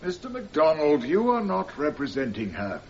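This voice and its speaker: A man's voice: on the high side, wildly swooping pitch, normal speed, a little mellow, highs cut off, slight background noise. A man playing a stern, indignant judge with a reproving, emphatic manner in a radio courtroom drama.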